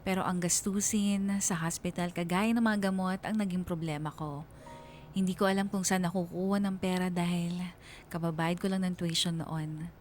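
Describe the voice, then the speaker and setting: A woman's voice: lowish voice, steady tone, moderate pace, soft background music, lightly acted. Reflective young woman telling her love story, acted by a woman. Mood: troubled, recounting. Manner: reflective, confessional. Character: young female student narrating her love story, remorseful, earnest. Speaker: narrator of a radio drama. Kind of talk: dramatized first-person radio storytelling.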